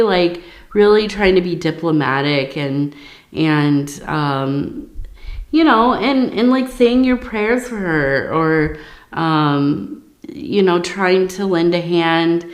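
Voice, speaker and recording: A woman reading tea leaves in a soft-spoken ASMR style, hoarse from losing her voice, rambling with many fillers and a dry mouth. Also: on the low side, roomy sound. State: losing her voice, sore throat. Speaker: tea leaf reader.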